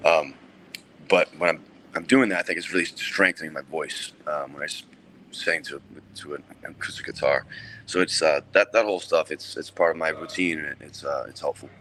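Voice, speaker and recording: A man in his forties with a very low voice, speaking in a relaxed, plain way with frequent ums and restarts. A faint noise sits behind him. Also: normal speed. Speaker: metal band vocalist, guest. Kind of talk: casual interview answers.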